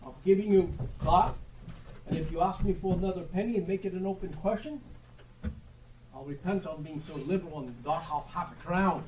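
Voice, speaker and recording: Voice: medium pitch, normal speed, a little mellow, lightly acted. A performer playing a sly, wheedling old rag dealer of nearly seventy in an amateur Dickens stage play. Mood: grudging, haggling. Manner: wheedling, mock-hospitable, haggling. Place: theatre stage, live performance. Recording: live stage recording, distant and noisy.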